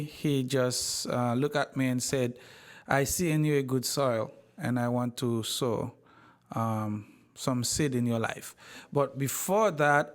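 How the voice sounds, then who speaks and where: A man from Haiti, a university president, telling his life story in an interview in a slow, measured way with frequent pauses and repeated words. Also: medium pitch.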